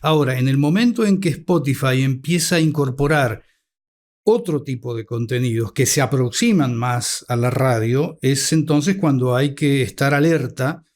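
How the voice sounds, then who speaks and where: A middle-aged man with an Argentine accent, giving reflective, measured answers as an academic guest, in formal vocabulary. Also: mid-range voice, a little mellow. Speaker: interview guest, communication academic and scriptwriter. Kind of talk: reflective interview talk. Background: music bed.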